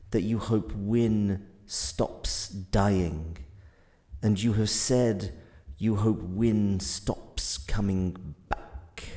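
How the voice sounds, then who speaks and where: Audio drama casting: ancient, enigmatic godlike entity played by a man, extremely slow, solemn delivery with long pauses and creaky low tone. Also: mellow, heavily acted. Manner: solemn, halting, deliberate phrasing with long pauses. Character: ancient godlike being of paradox, weary, wounded, enigmatic.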